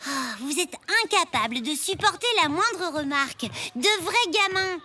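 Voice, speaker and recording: A woman's voice: extremely high pitch, expressive, moderate pace, bright, forceful tone, heavily acted. A woman voicing an earnest, bossy schoolgirl in a French-dubbed children's cartoon, emphatic and easily indignant. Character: earnest, bossy schoolgirl directing a class play.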